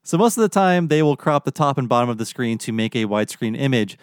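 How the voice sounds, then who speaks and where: A man's voice: mid-range voice, animated, quick pace, clear, resonant tone, no room sound, studio quality. A man hosting a podcast, giving opinionated, articulate explanations in a casual conversational way. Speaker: podcast host.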